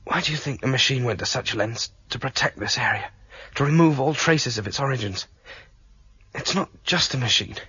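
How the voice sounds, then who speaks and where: A man's voice: medium pitch, moderate pace, sharp tone, breathy edge, no room sound. An actor playing a determined male rebel who exposes a ruling computer, speaking in an urgent, persuasive way. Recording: dull, dry lo-fi radio drama recording.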